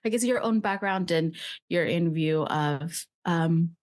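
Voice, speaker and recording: A woman's voice: on the low side, measured pace, darkish tone. A woman hosting a podcast interview, reading her introduction and then asking questions with some hesitation and fillers. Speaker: podcast host.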